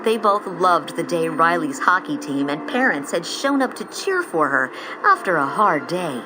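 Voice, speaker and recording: A woman reading scripted storybook narration in an expressive, measured storytelling style. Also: fairly low pitch, noisy background. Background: music.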